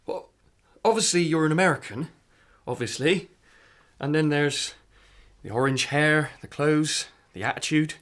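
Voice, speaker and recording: A man's voice: on the high side, unhurried, fairly bright tone. A man playing an awkward, curious, kindly small-town local with a hesitant, rambling manner in a radio drama. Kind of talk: naturalistic radio drama acting.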